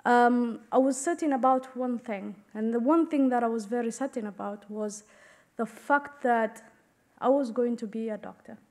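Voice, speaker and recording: Woman from kenya speaking at a podium mic, earnest and measured, bit high pitched, conference stage. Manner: earnest, measured, with fillers and gestures. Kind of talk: prepared talk at a podium. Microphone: podium microphone.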